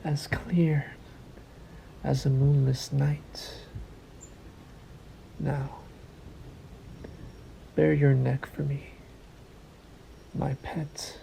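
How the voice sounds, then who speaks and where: A man's voice: little pitch movement, mellow, slight background noise, heavily acted, rough recording. A man voicing a sadistic, possessive vampire master in an ASMR roleplay, coaxing and commanding in a condescending murmur that often sinks into a whisper. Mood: commanding, menacing. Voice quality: low gravelly murmur, often sinking into whisper. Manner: very slow, deliberate, coaxing and commanding.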